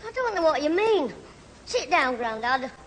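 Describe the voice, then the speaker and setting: A man's voice: extremely high pitch, sing-song, fairly bright tone, big-room sound, heavily acted. A man playing a cheeky, scheming schoolboy in a 1970s British radio sitcom. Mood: defensive. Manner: cheeky, quick to fib and bluster. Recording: old radio recording, faint hiss, studio audience.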